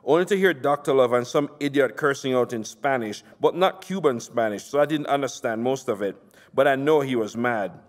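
A man reading aloud as a foul-mouthed, scornful Jamaican gang boss with a rough Jamaican accent. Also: medium pitch, lightly acted. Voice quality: rough, street slang, swearing. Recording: long hall reverb.

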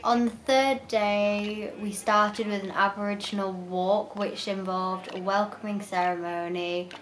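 A woman's voice: mid-range voice, fairly even intonation, measured pace, a little hiss or hum. A fourteen-year-old girl with an English accent giving a casual recap as an exchange participant. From York, England. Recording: echoey room with music behind.

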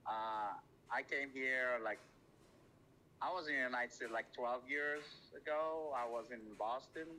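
A man's voice: medium pitch, measured pace, sharp tone, slight background noise, poor audio. A man from Morocco chatting on a call, shy and hesitant with many fillers. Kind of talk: casual phone chat.

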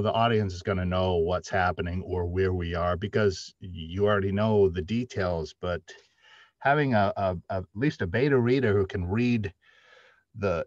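A middle-aged man explaining things as a panelist on a video call, measured and halting as he searches for words. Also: low-pitched, no room sound. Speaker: panelist, audio drama writer. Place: indoor room, video call. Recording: dull, lo-fi video-call audio.

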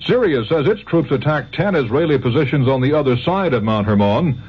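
A man's voice: medium pitch, lively intonation, a little fast, slightly rough. An American man anchoring scripted network radio news. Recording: dull, hissy 1970s radio recording.

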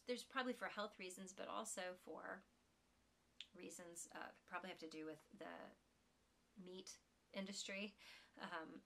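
A woman's voice: on the low side, vocal fry, slight background noise. A woman in her forties hosting a knitting podcast from a small room at home, chatting to listeners in a rambling way, her voice strained by allergies. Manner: chatty and rambling, warm laughs, frequent restarts. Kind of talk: casual chat to listeners.

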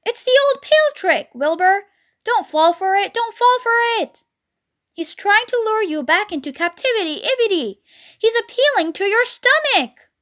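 Woman as a shrill, high-pitched bossy storybook goose. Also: big pitch swings, normal speed, fairly bright tone, exaggerated voice. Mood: alarmed warning. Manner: urgent, repeats phrases insistently. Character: bossy, frantic goose honking orders and warnings. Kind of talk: animal storybook voice.

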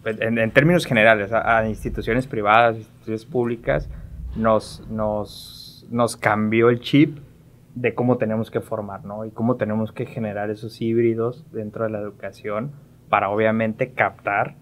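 A man's voice: lowish voice, flat delivery, normal speed, a bit bright. A man, talking conversationally on a podcast and often ending phrases with short tag questions. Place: small padded podcast studio. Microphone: close studio mic on stand.

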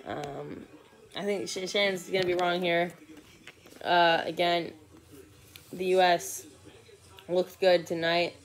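A man's voice: sits high, fairly even intonation, slow pace, vocal fry, long pauses. A teenage boy giving casual live commentary on a basketball game from his couch, rambling with many fillers and restarts. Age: teens. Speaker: sports vlogger. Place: living room couch, indoors. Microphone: phone or laptop camera from below.

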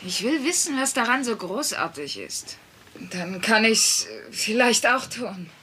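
A woman's voice: on the high side, big pitch swings, unhurried, bright, forceful tone, slightly rough, exaggerated voice. A woman voicing a blunt, teasing, persistent working-class wife in a dubbed sitcom, wry and probing. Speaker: voice actor in a German sitcom dub.

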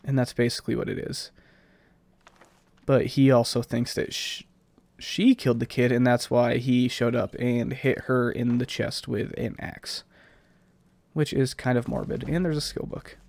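A man's voice: medium pitch, fairly even intonation, unhurried, vocal fry, darkish tone, dry recording. A man with a slightly stuffed-up, scratchy voice giving casual, rambling gameplay commentary as a let's play host.

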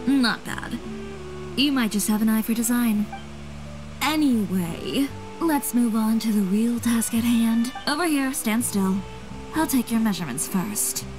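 A woman's voice: a little high, expressive, a little slow. A woman voicing a poised, self-assured young fashion designer in a video game, speaking in a composed, articulate, matter-of-fact way. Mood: calm, concerned. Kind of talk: scripted video game voice acting. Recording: game music behind the voice.

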